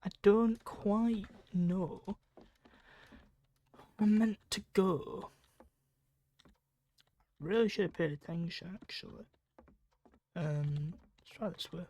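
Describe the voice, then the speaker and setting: A woman's voice: medium pitch, slow, pauses often, dark tone. A woman giving casual, reactive commentary while playing a game, muttering to herself and swearing mildly at it. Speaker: gamer narrating own playthrough.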